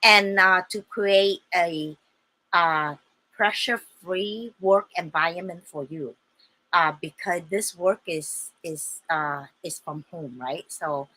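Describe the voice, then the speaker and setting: A woman explaining a home business in a casual video, halting with many fillers and checking in with "okay?", a bit hoarse with a small cough. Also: fairly low pitch, pushed and bright, low-quality recording. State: slightly hoarse with a little cough. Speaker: online business presenter.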